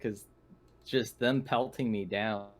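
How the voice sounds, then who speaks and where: A man's voice: lowish voice, steady tone, slow pace. A man talking casually as a player after a tabletop role-playing game. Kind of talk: casual post-game chat.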